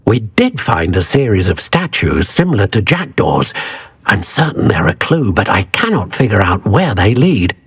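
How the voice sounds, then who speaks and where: Old British wandmaker: very husky, low voice, courteous and earnest, swooping expressive phrasing for a game. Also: male voice, heavily acted. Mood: musing. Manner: genteel, measured, expressive. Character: courteous elderly male wandmaker, earnest and wistful. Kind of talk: video game acting.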